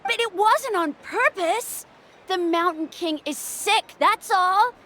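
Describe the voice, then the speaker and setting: A woman's voice: extremely high pitch, expressive, slow pace, pushed and bright, heavily acted. A woman voicing an earnest, loyal, easily hurt young boy in a video game. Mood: indignant.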